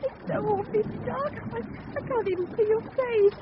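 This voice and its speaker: An actress playing a desperate married English murderess in a 1930s radio mystery, halting and dramatic in delivery. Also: female voice, very high-pitched, a crowd in the background. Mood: shocked, remorseful. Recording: muffled, noisy old radio recording.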